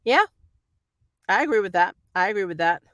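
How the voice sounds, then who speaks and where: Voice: mid-range voice, expressive, moderate pace, a bit bright, no echo, studio quality. A vlogger chatting off the cuff in a rambling, opinionated way, like a fan giving a review. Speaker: YouTube reviewer reading and answering viewer comments.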